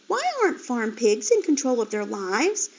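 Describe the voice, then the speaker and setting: A woman's voice: on the high side, very animated, moderate pace. A woman voicing a curious young female piglet in a storybook reading. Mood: questioning. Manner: eager, questioning. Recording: dull lo-fi recording.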